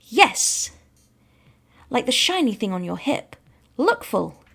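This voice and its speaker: A woman voicing an eccentric new spaceship crew member who speaks in stilted, broken phrases with an accent no one can place, with sudden loud bursts. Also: a little high, sing-song, slow, creaky, husky, pitched above the performer's own voice, heavily acted. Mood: eager.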